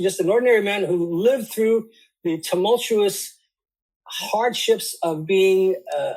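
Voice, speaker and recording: Host guy, high pitched and kinda husky, hesitant. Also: a little mellow, low-quality recording. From United States. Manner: hesitant, many fillers and restarts, jokey. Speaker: podcast host. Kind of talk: podcast interview.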